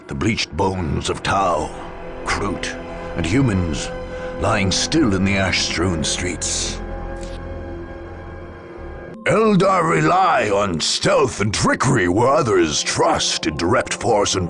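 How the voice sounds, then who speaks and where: Game narrator: a solemn war chronicler, creaky-edged, with dramatic swings in pitch. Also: male voice, very animated, over music, full character voice. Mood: grave, ominous. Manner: solemn, theatrical, deliberate phrasing. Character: grave male chronicler narrating a grim far-future war.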